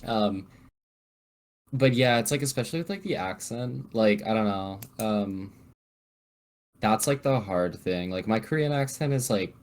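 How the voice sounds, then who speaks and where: A man's voice: fairly low pitch, lively intonation, a little slow, pauses often. A young man from the Bay Area, a podcast guest who rambles casually and says "like" a lot. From San Francisco Bay Area. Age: young adult. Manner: rambling, heavy use of "like". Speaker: guest, EMT.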